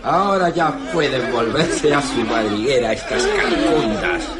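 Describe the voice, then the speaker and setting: Nasty gloating guerrilla boss, radio drama, slow and mocking, big noisy crowd behind him. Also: male voice, medium pitch, very animated. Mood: gloating, savage. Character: brutal, vengeful guerrilla chief jeering with bestial laughter. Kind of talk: Spanish radio drama acting. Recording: jeering crowd noise behind the voice. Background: loud crowd.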